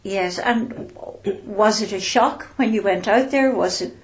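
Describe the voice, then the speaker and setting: A woman hosts an interview at a slow, unhurried pace. She is gentle and courteous, often pausing with small hesitations as she frames her questions. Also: mid-range voice, a little hiss or hum. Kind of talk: local interview.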